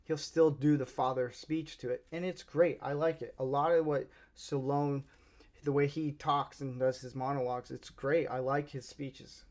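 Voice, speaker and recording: A man's voice: mid-range voice, fairly even intonation, normal speed, darkish tone. Chatty Southern American man reviewing movies. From Southern United States. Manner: chatty, rambling, many restarts. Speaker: movie reviewer, channel host. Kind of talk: casual spoken movie review.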